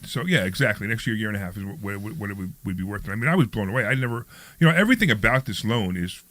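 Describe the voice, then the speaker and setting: A man's voice: on the low side, expressive, racing, a bit bright, no echo. A man in his sixties hosting a talk radio show, rambling and repeating himself in casual chat. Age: 60s.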